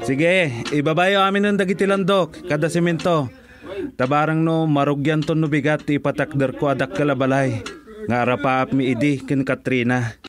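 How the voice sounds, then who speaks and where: A man's voice: on the high side, steady tone, normal speed, a crowd in the background, close to an everyday voice. A man playing an earnest, lovelorn farmer in a radio drama, speaking in a hesitant, sincere way. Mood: brisk, wistful. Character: Jomel, earnest, lovelorn organic farmer building a house. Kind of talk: Ilocano radio drama acting.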